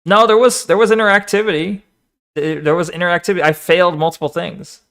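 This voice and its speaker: Brief: animated male streamer, a bit high-pitched, bantering with chat. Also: fast. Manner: animated, swears, talks back to the screen. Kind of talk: casual live-stream chat and video reaction.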